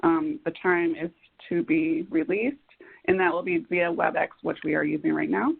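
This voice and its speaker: A woman presenting slides on a conference call, speaking in halting, piecemeal phrases. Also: deep voice, fairly even intonation, gravelly, husky. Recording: dull, lo-fi conference call audio.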